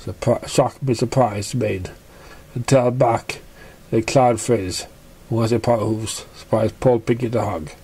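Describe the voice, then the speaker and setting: A storyteller narrating fan fiction expressively, with a somewhat halting, stumbling delivery. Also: medium pitch, fairly even intonation, dark tone, breathy edge.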